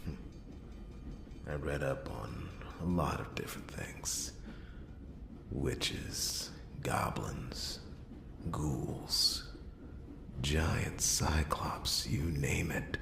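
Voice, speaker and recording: A weary, hardboiled noir detective acted by a man broods through his story in an extremely deep, gravelly murmur. He speaks very slowly and haltingly, half whispering, with long pauses, in first-person ASMR roleplay style. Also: heavily acted. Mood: wry, disbelieving. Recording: roomy echo under clear music.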